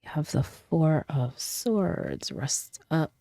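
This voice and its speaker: A woman with a cold giving a casual, chatty tarot reading, her voice stuffy and often dropping to a soft half-whisper. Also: low-pitched, very animated, slow, vocal fry, no room sound. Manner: casual and chatty, with frequent laughs and fillers. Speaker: tarot reader.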